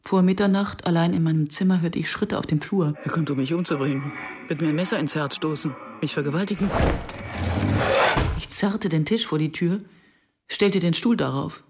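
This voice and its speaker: A woman's voice: deep voice, moderate pace, very noisy, close to an everyday voice. An actress playing an anxious, introspective woman who narrates a radio drama in the first person. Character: anxious, introspective Israeli woman recalling her past. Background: music.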